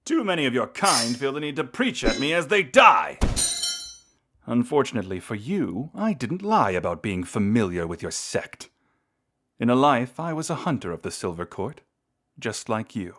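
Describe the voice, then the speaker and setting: A man voicing a proud, seductive vampire lord in a scripted audio roleplay, speaking in a theatrical, deliberate and intimate way. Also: fairly low pitch, full character voice. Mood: taunting, gloating. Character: seductive, menacing vampire lord, proud and secretly tender toward lost love.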